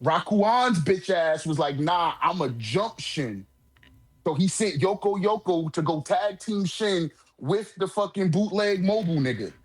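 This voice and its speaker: A man's voice: high-pitched, lively intonation, measured pace. A man in a casual manga discussion, talking in an emphatic, profane, slang-heavy way as a commentator.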